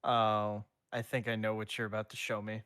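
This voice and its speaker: A man co-hosting a casual stream, laughing loudly and swearing offhandedly, who also reads a fanfic aloud. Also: fairly low pitch, completely flat delivery, moderate pace, clean tone, no room sound, clean studio sound. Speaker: co-streamer and reader.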